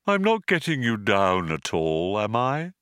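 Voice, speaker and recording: Storybook robot male reader: depressed, low dragging drone, self-pitying, dry sound. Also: dry recording, exaggerated voice. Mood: pathetic, needy. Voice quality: low, droning. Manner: slow, dejected, flat delivery.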